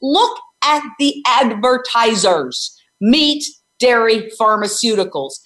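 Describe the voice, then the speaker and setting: A woman's voice: on the high side, very animated, slow, a bit bright. A woman in her sixties hosting an interview, talking energetically and emphatically, often posing questions and answering them herself. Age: 60s. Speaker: host; former news reporter and animal rights activist. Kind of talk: energetic interview and advocacy talk.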